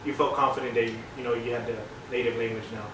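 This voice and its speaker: A man's voice: medium pitch, little pitch movement, quick pace, fairly bright tone. A man co-hosting a casual podcast, firing off chatty questions to the guest. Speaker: podcast co-host. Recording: echoey room, far from mic, noisy.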